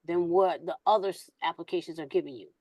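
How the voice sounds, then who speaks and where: A woman's voice: on the low side, quick pace, dark tone, dry recording. A woman hosting a casual online group discussion in an informal, colloquial way.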